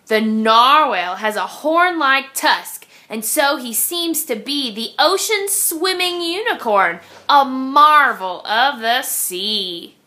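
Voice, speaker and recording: A woman reads rhymes from a children's picture book in a bright, forward voice. She takes her time, with a very expressive, sing-song storyteller's delivery that swings widely in pitch. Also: slow, few pauses. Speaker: read-aloud storyteller for young children.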